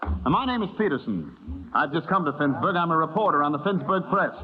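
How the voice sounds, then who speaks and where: An actor playing a brash, defeatist newspaper reporter in a vintage radio drama, blunt and argumentative. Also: male voice, on the high side, big pitch swings, a little fast. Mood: challenging. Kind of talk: 1950s radio drama acting. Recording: tinny old radio broadcast.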